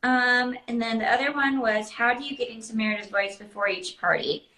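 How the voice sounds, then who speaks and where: A woman's voice: fairly high pitch, fairly even intonation, brisk, bright, forceful tone. A young adult woman chatting casually as a host and answering questions. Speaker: host, princess party performer. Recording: roomy, echoing sound.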